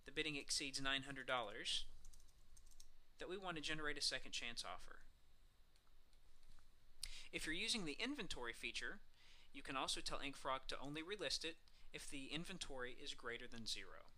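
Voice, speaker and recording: Man, very bright sharp voice, mid pitch, casual step-by-step explaining, software demo narration. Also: animated, moderate pace, rough recording. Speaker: software tutorial presenter. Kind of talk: screencast walkthrough narration, semi-scripted.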